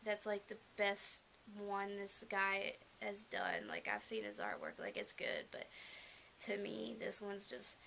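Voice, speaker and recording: A young woman with a regional American accent, gushing softly and emotionally as she unboxes a gift in a small room at home, filmed on a webcam. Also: mid-range voice, huge pitch swings, a little slow, vocal fry, a little hiss or hum. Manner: gushing, emotional, soft-spoken and rambling. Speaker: vlogger. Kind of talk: casual unboxing vlog.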